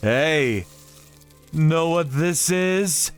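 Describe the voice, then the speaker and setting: A man's voice: a little high, animated, extremely slow, smooth, soft background music, pitched above the performer's own voice. A man voicing a cold, mocking torturer in a cartoon story, drawing out his menacing taunts. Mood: cold, commanding.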